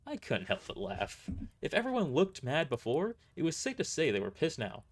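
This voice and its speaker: A man's voice: mid-range voice, wildly swooping pitch, normal speed. A man narrating a story expressively in the first person, occasionally stumbling and repeating words as he reads. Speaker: narrator and performer.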